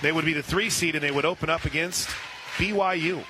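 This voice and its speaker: A man calling live softball play-by-play on radio, filling the gaps between pitches with conversational detail. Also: a little fast. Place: outdoor softball stadium broadcast position. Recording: clear outdoor ballpark ambient noise.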